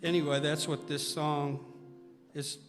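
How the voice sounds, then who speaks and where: A man's voice: a little high, flat delivery, unhurried. Reflective older man in a reverberant hall. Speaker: singer-songwriter guest. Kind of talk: casual onstage interview chat. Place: stage of a live music show. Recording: reverberant hall, music behind.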